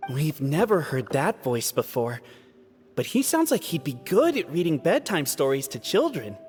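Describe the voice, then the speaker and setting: A man's voice: a little high, huge pitch swings, moderate pace, a little mellow, long reverb tail. A man voicing a formal, indignant clan historian creature in a video game, with theatrical, declaiming delivery. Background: background music.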